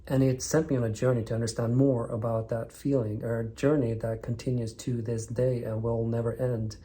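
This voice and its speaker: Man in his thirties, record-show host, warm, mellow tone, scripted and enthusiastic. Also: medium pitch, slight background noise. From northern Sweden. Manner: enthusiastic, measured storytelling. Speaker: vinyl record channel host. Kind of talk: scripted narration.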